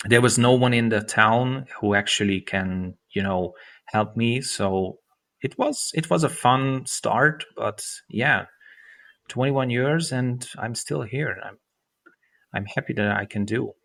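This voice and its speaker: Romanian man, bright accented speech. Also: mid-range voice, gravelly. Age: 30s. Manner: halting, many restarts and fillers, non-native grammar. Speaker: guest musician. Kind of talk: casual interview chat.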